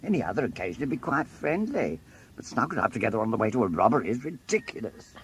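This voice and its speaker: An actor playing a fussy, plaintive burglar in a British comic radio play, speaking with prim precision. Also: male voice, medium pitch, sing-song, fast talker, a bit bright, breathy edge. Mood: peevish. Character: fussy, whining male burglar.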